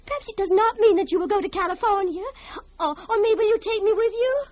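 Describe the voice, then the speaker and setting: A woman's voice: very high-pitched, darkish tone, dry recording. An actress playing a haunted, fragile older mother in an old-time radio drama, theatrical, pleading and unhinged. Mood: anguished, foreboding. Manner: theatrical, wheedling, drawn-out pleading.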